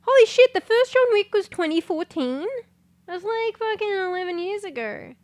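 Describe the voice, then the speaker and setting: A congested woman chatting casually on a livestream, rambling, swearing and breaking into sudden loud exclamations as she reads things aloud. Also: very high voice, expressive, normal speed, no echo. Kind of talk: casual stream chat, reading aloud.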